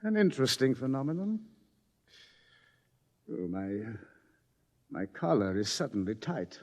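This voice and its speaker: An actor playing an anxious scientist who tries to stay rational, urgent and articulate, in 1950s radio drama style. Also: male voice, mid-range voice, sing-song, measured pace, a little mellow. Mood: uneasy, controlled. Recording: dull, reverberant old radio recording.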